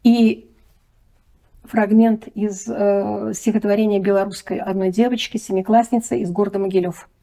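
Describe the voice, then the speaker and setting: A woman's voice: mid-range voice, level tone, moderate pace, vocal fry, warm tone, rough recording. A woman on a radio discussion who presents a student project, speaking in a hesitant, thoughtful way with many fillers. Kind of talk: radio discussion, reading and retelling texts.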